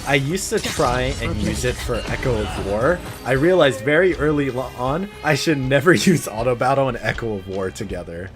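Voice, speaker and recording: A man chats casually while streaming gameplay. His mid-pitched voice is conversational and laid-back, as if thinking aloud. Loud game music plays behind him throughout. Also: lively intonation, normal speed, hardly any pauses. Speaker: game livestreamer. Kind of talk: casual chat over gameplay.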